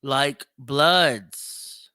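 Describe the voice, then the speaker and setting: A man's voice: mid-range voice, very slow, bright, forceful tone, clean tone, no echo. A man hosting a solo opinion show, speaking bluntly and profanely with mocking, emphatic repetition. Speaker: podcast host.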